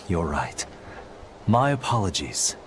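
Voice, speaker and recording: An actor playing a composed, formal crown prince with a resigned air in a game cutscene. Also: male voice, low-pitched, big pitch swings, a little hiss or hum, exaggerated voice. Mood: amused, apologetic. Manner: formal, measured wording. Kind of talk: animated game cutscene acting. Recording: loud music over dialogue.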